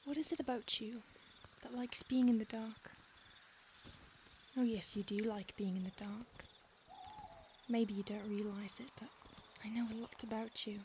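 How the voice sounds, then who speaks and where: A woman's voice: a little high, a little slow. A woman playing a whispering possessive vampire. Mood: menacing whisper. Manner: soft, intimate, often whispered; calm, menacing, possessive. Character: possessive centuries-old female vampire stalker. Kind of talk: audio roleplay. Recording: noisy background hiss.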